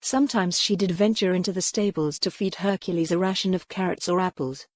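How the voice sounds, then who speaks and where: An audiobook narrator with a medium-pitched voice, reading historical romance. The delivery is measured and scripted, like storytelling, and the recording is close and dry. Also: fairly even intonation, dry recording.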